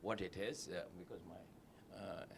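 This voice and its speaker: A man, an audience member asking a question in a halting, hesitant way full of fillers. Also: low voice, steady tone, creaky, background noise. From India. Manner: halting and hesitant, full of "uh" and "um", pressing his point.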